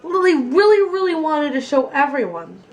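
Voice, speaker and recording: A woman storyteller reading a children's picture book aloud with expressive, deliberate phrasing. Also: sits high, a little hiss or hum.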